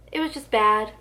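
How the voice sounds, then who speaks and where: A fairly high-voiced young woman gushes to the camera about her favorite books, talking casually and saying "like" a lot. Her voice is a little hoarse, as if it comes and goes. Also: bright, forceful tone. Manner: gushing, chatty, heavy use of "like". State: slightly losing her voice. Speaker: book vlogger. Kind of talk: casual book tag vlog.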